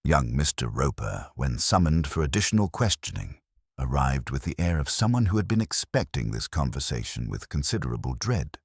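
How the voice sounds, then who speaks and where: Guy reading a detective story, super deep voice, formal and measured but moves around in pitch, really dry sound. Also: slightly rough, dry recording. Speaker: narrator. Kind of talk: scripted narration of a detective mystery.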